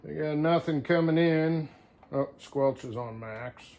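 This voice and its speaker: A man's voice: on the high side, measured pace, a little mellow. A man talking casually to viewers in a folksy, unscripted way, thinking aloud as he tinkers through a hands-on demonstration. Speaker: hobbyist CB radio vlogger.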